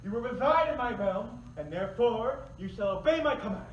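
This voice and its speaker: A man's voice: extremely high pitch, expressive, brisk. An actor in a staged play reading voicing a sinister, domineering serpent spirit who speaks like a stern, pompous father god. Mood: imperious. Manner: pompous, commanding. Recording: echoey room, distant mic, faint noise.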